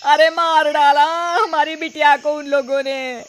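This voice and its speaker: A woman's voice: high voice, little pitch movement, a little slow, slight background noise. A woman playing a greedy, scheming middle-aged mother in a cartoon story, with a dramatic, scolding and wheedling manner. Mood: indignant. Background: background music.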